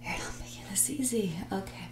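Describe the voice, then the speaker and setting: A woman playing a mean, snobby rich young woman in a whispered and soft-spoken ASMR roleplay, talking in a breathy, condescending, bratty way. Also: medium pitch, close to an everyday voice. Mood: dismissive. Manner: condescending, bratty, dismissive, drawn-out casual phrasing. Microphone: close ASMR microphone.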